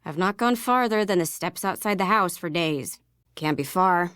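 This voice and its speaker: Lower-voiced, sullen young American misfit. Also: female voice, normal speed, clear, resonant tone, no room sound, studio quality. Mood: sardonic. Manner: sullen, deadpan, defensive, casual. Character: guarded, sarcastic young woman, small-town misfit suspect. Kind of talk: scripted video game dialogue.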